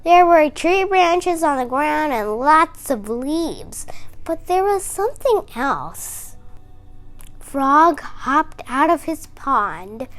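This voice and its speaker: A woman reading a children's picture book aloud in a slow, expressive storytelling manner. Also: sits high.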